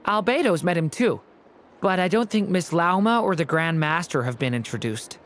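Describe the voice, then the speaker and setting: A man playing a polite, earnest, gentle youth in a video game. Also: a little high, expressive, normal speed, slight background noise. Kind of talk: scripted video game dialogue.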